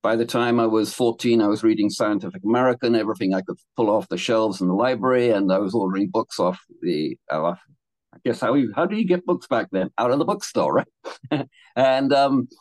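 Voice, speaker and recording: A man's voice: fairly low pitch, brisk, a little mellow, no room sound, low-quality recording. A man in his seventies from England, telling his life story as a guest in a casual interview, rambling with restarts and laughing between thoughts. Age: 70s.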